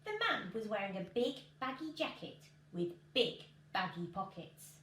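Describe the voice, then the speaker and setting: A woman's voice: medium pitch, sing-song, unhurried, a bit bright. A woman in her thirties with an English accent, reading a children's storybook expressively. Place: small living room, indoors. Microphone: camera a couple of metres away. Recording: roomy home recording with clear background noise.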